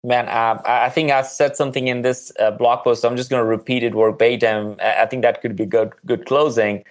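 A man's voice: medium pitch, steady tone, a little fast. A man speaking as a marketing guest in an interview, conversational and earnest, repeating words for emphasis.

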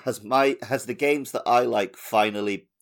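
A man's voice: medium pitch, moderate pace. A man co-hosting a gaming podcast, chatting casually with a wry, conversational manner. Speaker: podcast co-host.

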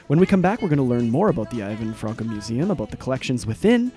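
A male radio host reading a brisk scripted announcement for his show. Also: mid-range voice, very animated, warm tone, soft background music.

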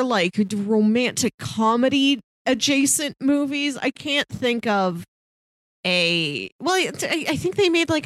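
A woman's voice: a little high, no room sound. A woman co-hosting a casual movie review discussion in a conversational way. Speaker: podcast co-host reviewing movies.

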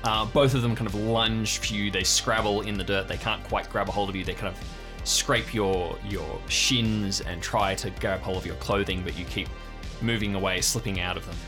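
Brief: male game master, low voice, vivid descriptive narration of a tabletop adventure, music clearly behind. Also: steady tone, moderate pace. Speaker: game master of an improvised audio drama.

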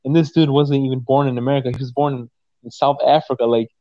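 A man's voice: medium pitch, fairly even intonation, fast, mellow, dry recording, dull audio. A man in his twenties talking casually and emphatically, with lots of swearing and repeated "you know what I'm saying.". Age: 20s. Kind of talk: casual conversation.

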